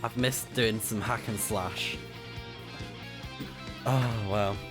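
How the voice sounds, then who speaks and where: A man's voice: lowish voice, fairly even intonation, slow pace. A young man with a slightly scratchy voice giving enthusiastic, casual live commentary as he plays a video game. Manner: enthusiastic, thinking aloud. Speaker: game streamer. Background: game music.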